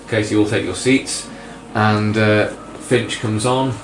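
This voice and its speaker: A man narrating a tabletop game as game master in a casual, halting way, searching for his words. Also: fairly low pitch, little pitch movement, background noise. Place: indoor room, group around a game table. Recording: roomy, distant mic with music behind.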